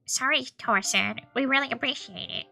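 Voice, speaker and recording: A woman's voice: high-pitched, a little fast, vocal fry, sharp tone, pitched above the performer's own voice, heavily acted. A woman voicing a polite, eager young man in a visual novel read-aloud. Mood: polite, composed. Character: polite, unruffled young male caller, apologetic messenger. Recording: music behind the voice.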